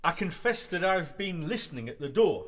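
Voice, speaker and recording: A man's voice: fairly high pitch, big pitch swings, moderate pace, fairly bright tone. An actor playing a loyal, nervous young male student in a radio play, polite, earnest and a little hesitant. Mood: earnest confession. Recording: echoey hall with long reverb.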